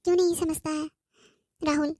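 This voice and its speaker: Cartoon mother woman actor: middle-aged widow, high voice, gentle, wounded, plaintive lament. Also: flat delivery, normal speed, dry recording, clean studio sound, close to an everyday voice. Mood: grieving.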